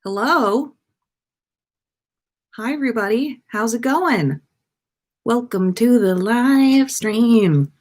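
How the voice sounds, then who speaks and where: Slow-paced American woman chatting casually. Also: on the high side, lively intonation, a little mellow. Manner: playful, rambling. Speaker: podcast livestream host. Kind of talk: casual livestream chat with viewers.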